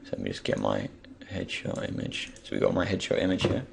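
Man, very creaky morning voice, low pitch, hesitant, thinking aloud, unscripted screen tutorial. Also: sing-song, a little fast. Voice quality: croaky. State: croaky from just waking up. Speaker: tutorial presenter. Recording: faint background noise, keyboard and mouse clicks.

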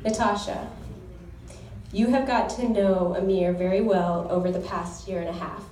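A young woman delivers a sincere, devout wedding toast at a medium pitch. Her voice carries from a distance through a reverberant reception hall. Faint clinking of dishes sits low in the background. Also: steady tone, normal speed, darkish tone, slight background noise. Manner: earnest, heartfelt, devout. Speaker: groom's sister giving a wedding toast. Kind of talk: formal wedding toast with scripture readings. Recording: echoey hall recorded from far off.

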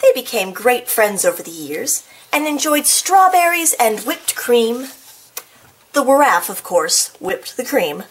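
A woman reading a children's picture book aloud in an expressive, playful way, with casual, chatty asides. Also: a little high, measured pace, husky, low-quality recording. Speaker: storybook reader.

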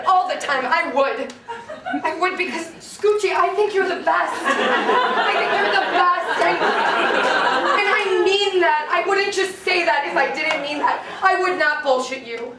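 A woman's voice: very high-pitched, recorded from a distance, noisy background. A woman acting as a sweet, gushing neighbor who adores a dog, in a live comedy sketch. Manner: cooing, effusive baby talk to a dog. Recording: echoey stage from afar, audience crowd.

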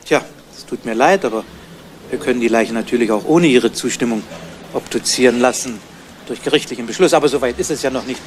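An actor playing a polite but persistent police investigator, probing in a casual, hesitant way in a 1970s German crime radio play. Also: male voice, mid-range voice, fast, lightly acted. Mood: matter-of-fact. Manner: hesitant, casual probing with ums and trailing questions. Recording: noisy old radio play recording.

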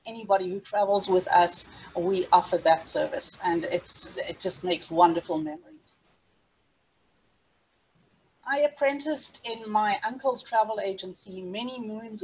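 A woman's voice: mid-range voice, measured pace, a little hiss or hum, rough recording. A middle-aged woman with a South African accent, presenting a webinar over a video call in an earnest, scripted way with small restarts. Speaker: safari travel specialist hosting a webinar.

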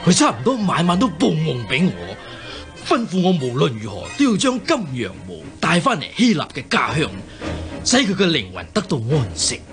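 Radio drama villain: aged, unctuous usurper king, Hong Kong Cantonese, highish animated voice, sighing, music behind. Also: moderate pace. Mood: solemn, persuasive. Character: old scheming usurper king feigning weary remorse.

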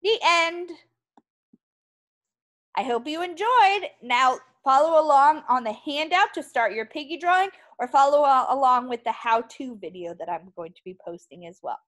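A woman teacher reading a picture book aloud to young children in an animated, expressive way. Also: high-pitched, normal speed.

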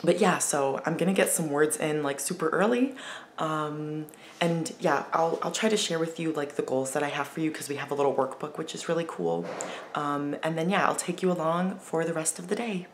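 Young woman vlogger, lowish, hoarse morning voice, casual and chatty, arm's-length camera. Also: normal speed, echoey room, a little hiss or hum. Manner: chatty, laughing, lots of fillers. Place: bedroom in a rented house. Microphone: camera held at arm's length.

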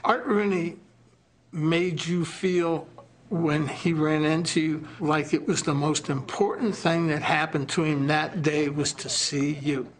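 A man's voice: on the high side, steady tone, slow pace. A man giving an interview tribute.

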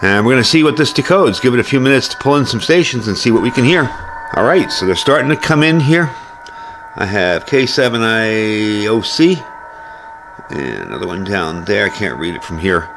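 A man's voice: on the low side, lively intonation, normal speed, background noise. A man giving a casual hands-on gear review as host, explaining things informally, step by step.

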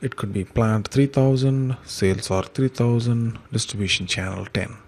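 A man giving an informal step-by-step software tutorial in a conversational, explanatory way, with a gravelly, husky voice. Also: medium pitch, moderate pace. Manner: explanatory, conversational, uses examples. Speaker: software trainer, instructor.

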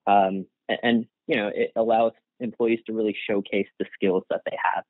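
A man's voice: fairly low pitch, flat delivery, moderate pace, no echo. A man whose first language is Gujarati, answering interview questions casually, with frequent restarts and fillers and light laughs. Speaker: guest, workplace psychologist. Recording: tinny phone-like line, dry.

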